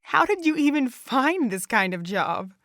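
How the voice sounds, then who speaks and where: A woman's voice: sits high, sing-song, a little slow, a bit bright, no echo, clean studio sound, full character voice. A woman acting in a fan audio drama as a curious, hesitant young amateur who speaks in a questioning way. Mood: intrigued.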